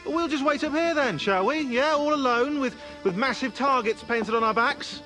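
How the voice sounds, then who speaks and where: A man's voice: extremely high pitch, normal speed, pushed and bright, faint music behind. An actor in a video game playing a prickly, sarcastic male analyst with a British accent and a dry wit. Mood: nervy sarcasm.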